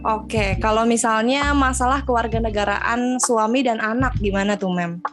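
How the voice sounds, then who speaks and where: Upbeat young woman podcast host, higher voice. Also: moderate pace. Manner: upbeat, chatty, addresses listeners directly. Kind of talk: casual podcast interview. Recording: music playing underneath.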